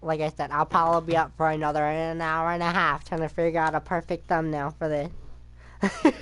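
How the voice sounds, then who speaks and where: A woman's voice: low voice, little pitch movement, normal speed, fairly bright tone, clear, resonant tone. A woman gaming streamer giving casual reaction commentary as she plays. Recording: game music behind the voice.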